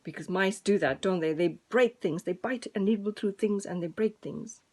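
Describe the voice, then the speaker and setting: A woman's voice: mid-range voice, moderate pace, darkish tone. A woman telling a story to preschool children in an informal way, with simple, repeated phrases addressed to young listeners.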